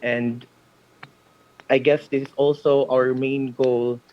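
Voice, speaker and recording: Slow, flat-toned Filipino man on dull audio. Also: mid-range voice. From Philippines. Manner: measured, formal, pausing between phrases. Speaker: student participant. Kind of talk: formal spoken reflection.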